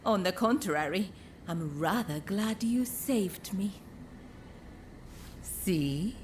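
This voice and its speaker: An actress playing an elegant, teasing courtesan in a video game cutscene, with refined, coquettish phrasing. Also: female voice, mid-range voice, lively intonation, slow pace, slight background noise. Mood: teasing, grateful. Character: elegant, coy, teasing courtesan woman with powerful connections.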